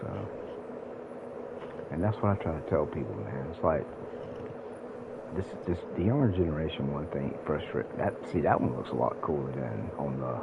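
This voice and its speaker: A man's voice: very deep voice, lively intonation, moderate pace, vocal fry, long pauses, narrow, tinny audio, very noisy. A man, rambling casually to viewers on a gaming livestream. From South Carolina, United States. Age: late 40s. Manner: rambling, addresses viewers directly. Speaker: live streamer. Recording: music playing behind the voice.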